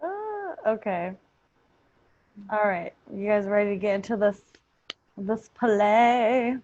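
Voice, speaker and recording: A woman's voice: medium pitch, lively intonation, slow pace, darkish tone, dry recording. Female podcast host, informal and teasing, dry close sound with a dulled top end. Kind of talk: casual group chat. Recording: muffled, dull lo-fi podcast audio.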